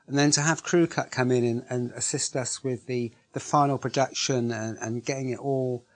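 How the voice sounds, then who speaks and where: Aussie guy organiser talking in an interview, medium pitch, earnest, repeats himself. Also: steady tone, moderate pace. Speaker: project organiser. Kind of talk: interview testimonial.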